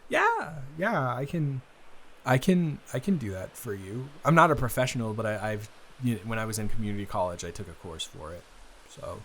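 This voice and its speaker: A man playing an awkward, earnest young man in an improvised intimate roleplay, hesitant, rambling and quick to apologize. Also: fairly low pitch, lively intonation, a bit bright, slight background noise. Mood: nervous, helpful. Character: awkward, earnest, smitten young man, best friend's brother.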